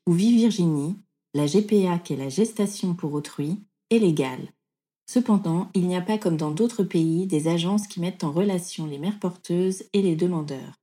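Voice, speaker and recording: A woman hosting a podcast, reading a scripted introduction and then asking interview questions. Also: on the low side. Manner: steady read narration. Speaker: podcast host.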